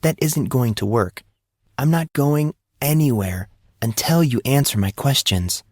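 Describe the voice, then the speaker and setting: A man voicing a calm, assertive teenage girl with a collected but demanding manner, in an audiobook reading. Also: medium pitch, measured pace, no room sound, clean studio sound, voice pushed up.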